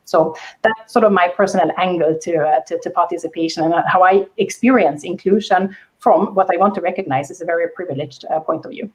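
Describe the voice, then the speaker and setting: A woman with a soft voice speaking on a panel over a video call, thoughtful with many fillers and restarts. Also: fairly low pitch, rough recording. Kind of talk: panel discussion talk.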